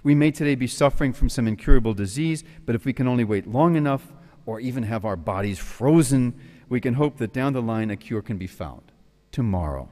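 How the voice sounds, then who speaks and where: An American priest in his sixties from New York City, giving an animated retreat talk at a lectern in a large hall. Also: medium pitch, fairly quick. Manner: animated, gestures with both hands, tells jokes. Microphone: stand mic at the lectern. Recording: long hall reverb.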